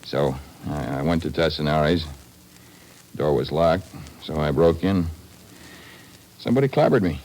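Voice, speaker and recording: An actor playing an earnest, defensive wounded airline man in a 1950s radio drama, halting yet emphatic. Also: rumbling low, darkish tone, slight background noise.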